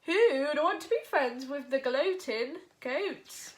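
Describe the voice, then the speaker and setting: A woman's voice: sits high, lively intonation, takes it slowly, a little hiss or hum. A woman voicing teasing townspeople in a storybook, calling out a taunt. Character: crowd of teasing townspeople below a tower.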